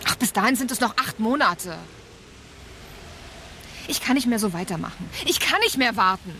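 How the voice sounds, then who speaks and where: A woman's voice: on the high side, expressive, moderate pace, pushed and bright, a little hiss or hum. An actress playing a girlfriend hesitantly breaking away from her partner in a radio drama. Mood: hesitant, resolved.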